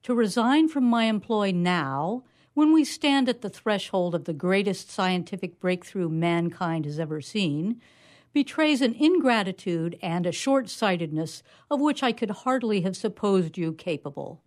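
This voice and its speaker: A woman's voice: mid-range voice, lively intonation, measured pace, a little mellow, natural voice. A woman reading comic fiction as a haughty mad scientist, scolding in a pompous, florid manner. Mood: haughty reproach. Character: haughty, indignant mad scientist dictating a letter.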